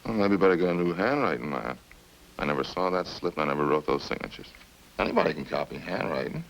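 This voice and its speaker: Deep, gravelly, defensive crime suspect. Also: male voice, expressive, fast, full character voice, rough recording. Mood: indignant denial. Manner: indignant, slangy denials. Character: evasive male crime suspect, defensive ex-convict. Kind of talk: 1950s radio crime drama acting. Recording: hissy old-time radio recording.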